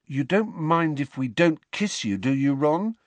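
A man's voice: fairly high pitch, very animated, unhurried, dry recording, pitched above the performer's own voice. A man voicing a mischievous, sarcastic teenage twin brother who teases and mocks, in an audiobook. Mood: falsely anxious. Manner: sarcastic, falsely anxious.

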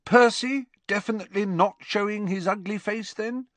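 A man's voice: sits high, normal speed, no echo, pitched above the performer's own voice, natural voice. Man voicing teasing, mischievous older teen twin. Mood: flippant. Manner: teasing, drawling, mock-grand.

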